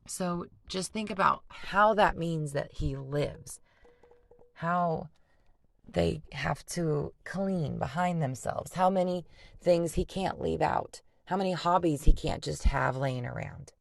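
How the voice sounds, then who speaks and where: A woman teacher reading a novel aloud to students, pausing to explain and ask them to picture things. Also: low voice, creaky, no echo. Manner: patient, explanatory, addresses students directly. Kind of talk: classroom read-aloud with discussion breaks.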